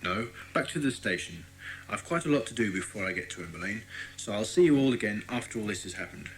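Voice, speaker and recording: An actor playing a friendly, obliging British police inspector in a children's audio drama, courteous and explanatory. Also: male voice, on the low side, animated, fast, rough recording. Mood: reassuring.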